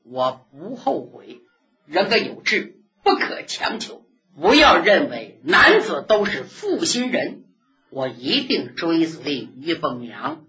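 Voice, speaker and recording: A woman's voice: deep voice, big pitch swings, slow pace, pushed and bright, full character voice. A woman doing an earnest young man. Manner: earnest, pleading. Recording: dull lo-fi recording.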